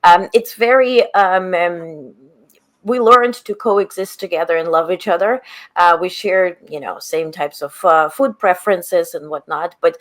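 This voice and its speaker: A woman from Ukraine with a Ukrainian accent, a native Russian speaker, interviewing a guest in an earnest, halting way. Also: fairly low pitch, fairly bright tone. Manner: earnest, pauses with many "um"s. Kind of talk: conversational interview.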